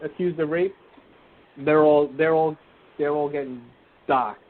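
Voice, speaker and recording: A man's voice: on the high side, fairly even intonation, a little slow. A man co-hosting a casual sports podcast, joking and loose, often trailing off and restarting. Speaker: podcast co-host. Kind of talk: casual sports call-in chat. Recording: tinny phone-like line with faint hiss.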